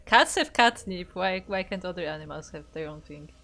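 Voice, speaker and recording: A woman's voice: on the low side, lively intonation, moderate pace, vocal fry, pushed and bright. A woman co-commentating an esports match in a casual, conversational and playful style. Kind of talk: casual chatty game commentary.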